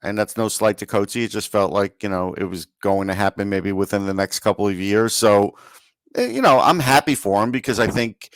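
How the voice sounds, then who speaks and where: A man's voice: on the low side, moderate pace, a bit bright, no room sound, studio quality. A man co-hosting a sports podcast in a casual conversational style.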